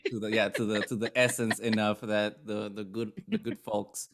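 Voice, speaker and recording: A man co-hosting a casual review podcast, talking in halting bursts with many restarts and repeated words. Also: lowish voice, level tone, creaky, background noise. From Chicago area. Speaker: podcast co-host.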